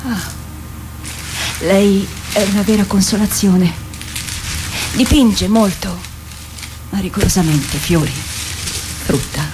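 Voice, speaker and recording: A woman playing a grave, resigned female warrior with a measured delivery in a radio drama. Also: mid-range voice, noisy background, lightly acted. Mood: bleak, wry. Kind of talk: Italian radio drama acting.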